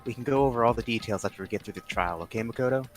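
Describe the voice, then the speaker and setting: A man voicing a cool, secretive teenage girl detective in a measured, matter-of-fact way. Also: medium pitch, natural voice. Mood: composed, guarded. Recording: music behind the voice.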